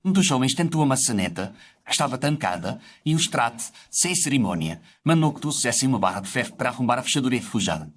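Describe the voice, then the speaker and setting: A man's voice: medium pitch, slight background noise. A man narrates a scripted audio story. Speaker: narrator telling the story as a doctor character.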